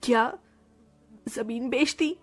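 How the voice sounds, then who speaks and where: Woman acting a high-voiced, fretful village aunt. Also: slow, soft background music. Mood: worried. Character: poor weary loving aunt in a village household. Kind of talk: Hindi cartoon story acting.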